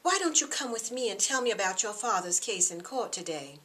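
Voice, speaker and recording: A woman's voice: medium pitch, big pitch swings, fairly quick, a bit bright. A woman voicing a gushing, chatty middle-aged mother and gracious lady of the house in an audiobook. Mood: breezy, gracious.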